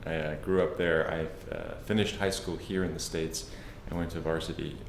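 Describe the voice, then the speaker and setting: A man from South Africa with a South African accent, a guest explaining his career in a measured, conversational way. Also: extremely low pitch, fairly even intonation, gravelly, echoey room, slight background noise. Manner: measured, explanatory, with frequent restarts. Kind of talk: conversational interview.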